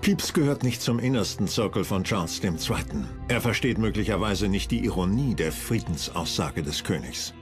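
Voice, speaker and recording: A man's voice: deep voice, lively intonation, moderate pace, few pauses, music in the background. A man reading scripted narration for a historical documentary.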